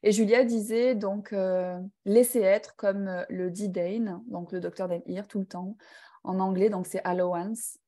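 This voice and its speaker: Reflective woman with a mellow voice. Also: medium pitch, fairly even intonation, a little slow. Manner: reflective, hesitant with many fillers. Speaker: personal growth class facilitator. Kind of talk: live online talk to participants.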